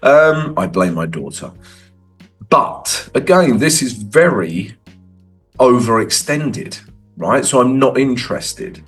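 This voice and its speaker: A man's voice: medium pitch, sing-song, slow, fairly bright tone, soft background music. A man with a cold walking through trading charts in a casual, conversational way, tagging lines with "right?". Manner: conversational, frequent "right?" tags. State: has a cold. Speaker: forex trading educator.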